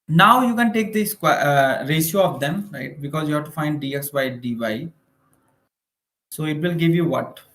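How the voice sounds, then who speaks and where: Higher-pitched Indian man teaching in echoey room. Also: measured pace, fairly bright tone. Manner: explanatory, frequently checking with tag questions. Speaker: maths teacher for exam preparation. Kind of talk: informal online lecture, working through problems. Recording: roomy, echoing room sound.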